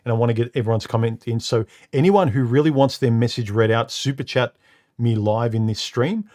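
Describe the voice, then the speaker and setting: A man with a deep, slightly muffled voice hosts a live stream from home. He speaks casually and haltingly, often repeating a word before he finds his sentence. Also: a little mellow. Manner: halting, repeats words, many uh and um fillers. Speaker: live stream host reading comments. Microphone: studio microphone.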